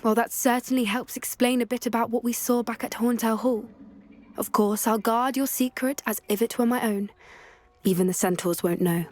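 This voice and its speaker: A woman's voice: fairly high pitch, fairly even intonation, moderate pace, lightly acted. A woman voicing a kind, earnest, anxious teenage witch with a British accent in a video game. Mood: reassuring. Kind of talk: video game dialogue acting. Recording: loud music behind the voice.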